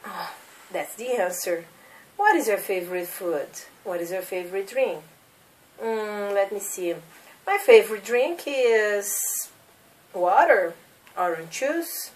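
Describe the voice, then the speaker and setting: A woman's voice: mid-range voice, expressive, slow, far from the mic. A woman in her thirties from Brazil with a Brazilian Portuguese accent, teaching English as a second language and drilling simple questions for learners to repeat. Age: 30s. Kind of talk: slow classroom drilling of questions.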